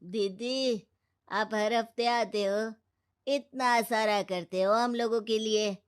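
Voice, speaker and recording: A sly male beggar in a cartoon morality tale, voiced by a woman. He pleads in a slow, rather high voice, wheedling like someone used to asking for money. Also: fairly even intonation, clean tone. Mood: pleading. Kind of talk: animated moral story dialogue.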